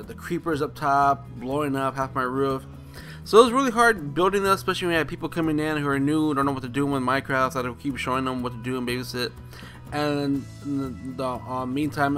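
A man's voice: medium pitch, fairly bright tone. A man hosting a casual game walkthrough, rambling and enthusiastic, with many restarts and fillers. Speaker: gaming channel host. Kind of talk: casual gameplay walkthrough commentary.

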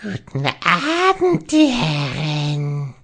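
A woman's voice: deep voice, wildly swooping pitch, fairly bright tone, exaggerated voice. A woman playing a creepy, unhinged psychiatric inmate in a parody audio drama, eerie and whispery, drawing out the words. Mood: mockingly polite. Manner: eerie, drawn-out, whispery muttering. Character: creepy, unhinged psychiatric inmate lurking in the dark, mockingly polite.

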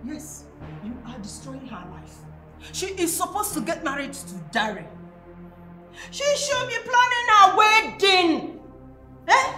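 A greedy, quarrelsome Nigerian mother in a melodrama. Her voice is high and very animated, with emphatic, confrontational delivery. It sounds in a roomy space with music behind it. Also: a little slow. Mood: defiant, indignant. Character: domineering, greedy, quarrelsome mother of a grown daughter. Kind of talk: screen melodrama acting.

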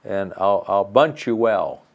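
An older man voicing a stern, proud male bumblebee in a fairy tale, scolding slowly. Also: mid-range voice, lightly acted.

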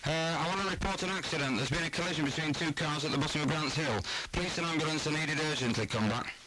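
A man's voice: on the high side, fast, pushed and bright. A man acting an urgent CB radio user reporting an emergency in clipped procedure talk over the radio. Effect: CB radio transmission. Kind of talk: acted radio call. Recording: noisy CB radio transmission.

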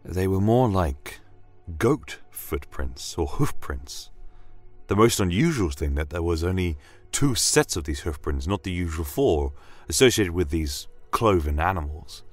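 A solemn inquisitor, acted out by a man, recounts his journey as a dramatic fantasy audio tale. The voice is deep and close, very dry, with faint music underneath. He is measured and brooding, leaving deliberate pauses. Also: no room sound. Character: solemn male church inquisitor recounting a wondrous, troubling journey. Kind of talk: dramatic first-person fantasy audio narration. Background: soft fantasy ambience music.

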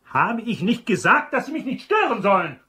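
An actor playing a fussy, impatient store manager in a German crime radio drama. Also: male voice, high voice, big pitch swings, normal speed, pushed and bright, slightly rough, full character voice. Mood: curt.

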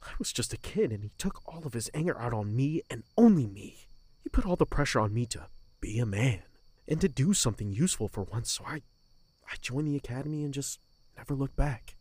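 Casting: bitter, wounded young man for audio drama, husky voice, wide-swinging pitch, very dry sound. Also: animated, a little fast, dry recording. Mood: bitter, hurt. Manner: emotional, swinging from hushed to outburst.

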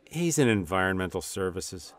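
A man's voice: low-pitched, sing-song, moderate pace, voice pushed down. A man voicing a hesitant office manager in a radio drama. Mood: uneasy.

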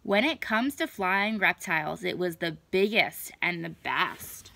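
A young adult woman reading a picture book aloud to children, expressive and deliberate, stretching words for emphasis. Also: on the low side, creaky. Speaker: children's story time reader. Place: small indoor room at home.

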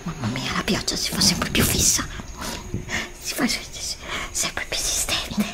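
A woman's voice: lowish voice, lively intonation, measured pace. A middle-aged woman whispering an ASMR roleplay, pleading and anxious, recorded indoors on a desk studio mic. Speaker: ASMR creator. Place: indoor room before a green screen.

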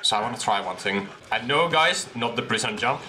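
A young man in a headset commenting casually on a game he is playing, excitable and slangy, laughing often. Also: mid-range voice, fairly quick, background noise. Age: young adult. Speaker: gaming streamer. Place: small room at a desk.

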